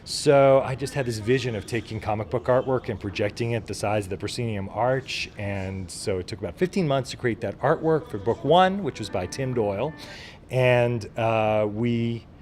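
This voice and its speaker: A man's voice: lowish voice, a little mellow. A man speaking informally and with enthusiasm as the guest in a casual interview, explaining his work as a writer and producer.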